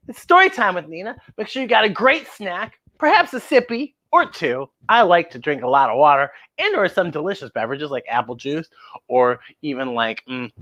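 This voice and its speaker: A woman hosting a children's story time, talking and reading playfully to young kids, with exaggerated exclamations, drawn-out words and questions to the listeners. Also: low voice, fairly bright tone. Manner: draws out words for emphasis. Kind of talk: playful read-aloud and chat to young children.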